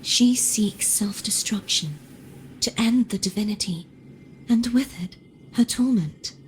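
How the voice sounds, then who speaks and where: Actress as slow, soft-spoken doomed young woman. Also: mid-range voice, slight background noise, close to an everyday voice, low-quality recording. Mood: sorrowful, at peace. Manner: solemn, deliberate, wistful. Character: gentle doomed young woman, resigned and self-sacrificing. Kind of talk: dramatic video game voice acting.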